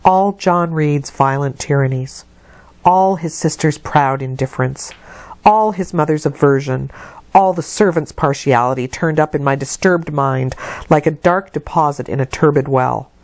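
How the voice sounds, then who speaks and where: A woman reading a classic novel aloud as an audiobook narrator, in a measured, expressive literary delivery. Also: low-pitched, no room sound. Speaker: audiobook reader.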